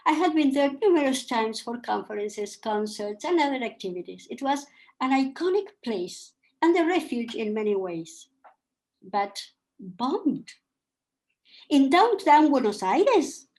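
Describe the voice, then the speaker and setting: An older woman with Polish as her first tongue, from Argentina, reading a personal account slowly and with feeling as a panel speaker. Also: high-pitched, sing-song, a little mellow, rough recording. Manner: slow, measured and emotional.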